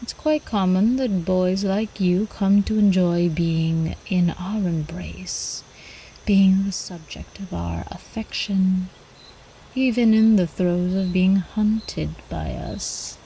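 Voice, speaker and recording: A woman voicing an ancient, seductive vampire countess in an intimate ASMR roleplay, teasing and cooing with quiet menace, often slipping into whispers. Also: lowish voice, steady tone, takes it slowly, slight background noise, poor audio. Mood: calm, predatory.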